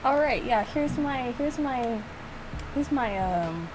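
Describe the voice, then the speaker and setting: A young woman with a very animated, swinging delivery, talking casually as she reacts to a game. She is self-mocking and repeats herself often. The recording carries clear background noise. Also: a little high, slow. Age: young adult. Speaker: gaming reaction video creator. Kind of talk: casual reaction commentary with lines read aloud.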